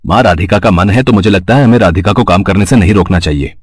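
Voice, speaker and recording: A man's voice: deep voice, fast talker, breathy edge, dry recording, studio quality. A man voicing plain adult male characters in a cartoon, a shopkeeper and a husband, speaking matter-of-factly. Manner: matter-of-fact, polite. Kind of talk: cartoon voice acting.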